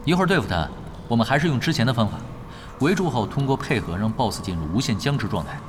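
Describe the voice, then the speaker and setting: Brisk, lively, self-assured expert gamer played by a man. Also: mid-range voice, background noise, lightly acted. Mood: unhurried, matter-of-fact. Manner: casual, matter-of-fact. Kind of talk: Chinese audio drama acting.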